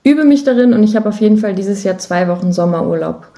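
A woman's voice: mid-range voice, lively intonation, quick pace, mellow. A woman from Germany speaking casually and reflectively as an interview guest, thinking aloud as she goes. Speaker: guest, musician and songwriter.

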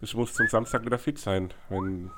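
A man's voice: fairly low pitch, moderate pace, heavy background noise. A man co-hosting a casual music podcast, nasal and stuffed up from a cold, patiently explaining. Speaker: podcast co-host. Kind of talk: casual chat.

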